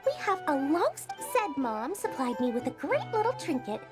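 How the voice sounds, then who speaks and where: A cheeky young merchant girl for a video game. She is high and swoops about excitably, with theatrical, salesy flair. Music plays behind her. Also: moderate pace. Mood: indignant, scheming.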